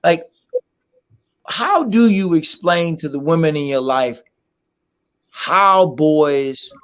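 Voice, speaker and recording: A hoarse man hosting a casual discussion, asking probing questions and drawing the others out. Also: on the high side, animated, takes it slowly, a bit bright.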